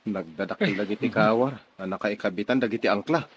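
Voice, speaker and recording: A man's voice: fairly low pitch, quick pace, a little hiss or hum, natural voice. An actor playing a weary, anxious ship's crewman in a radio drama, earnest and worried.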